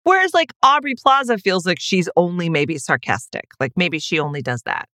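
This is a woman co-hosting a casual chat show, joking at her own expense and laughing often. Her voice sits on the low side but moves all over the place. The sound is close and dry. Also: animated, normal speed, pushed and bright, dry recording. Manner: playful, self-mocking, laughs often. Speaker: podcast co-host.

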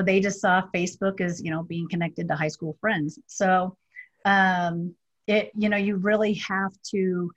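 A woman entrepreneur talking reflectively in a casual conversation, filling pauses with "you know". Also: lowish voice, lo-fi sound. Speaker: podcast guest, entrepreneur.

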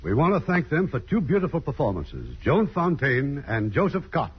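A man's voice: on the high side, wildly swooping pitch, moderate pace, heavy background noise. A man hosting a 1950s radio show with courteous, scripted showman's banter and introductions. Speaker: host. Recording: muffled vintage radio broadcast recording.

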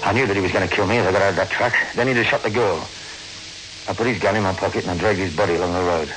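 An actor playing a hard-bitten, slangy fugitive truck driver in a 1960s radio suspense drama. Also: male voice, low voice, fairly even intonation, racing, a bit bright. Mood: grim, brooding. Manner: slangy, gruff, narrating and arguing. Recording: very noisy old radio recording.

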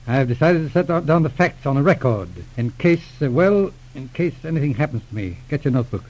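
An actor playing a grave, courteous private detective in a 1940s radio drama, with a clipped, businesslike, formal manner. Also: male voice, a little high, animated, brisk, darkish tone. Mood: troubled, grave. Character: grave, courteous male private detective, former police inspector. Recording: dull vintage radio recording.

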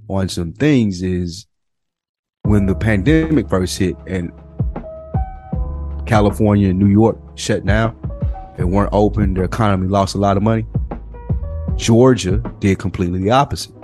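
A man from the United States co-hosting a casual podcast, explaining his views in a laid-back, deliberate way. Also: on the low side, darkish tone. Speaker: podcast co-host. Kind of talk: casual conversational podcast discussion.

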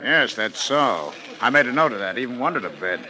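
An actor playing a brisk, skeptical police lieutenant in a 1950s radio detective drama, with a clipped, sardonic manner. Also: male voice, medium pitch, sing-song, pushed and bright, low-quality recording. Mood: sardonic, businesslike.

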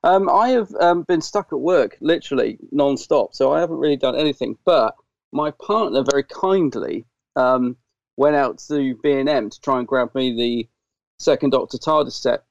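A man co-hosting a podcast, chatting casually and rambling through stories, his throat a bit dry and croaky. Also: mid-range voice, normal speed, no echo. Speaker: podcast co-host. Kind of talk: casual chat. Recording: dull lo-fi sound.

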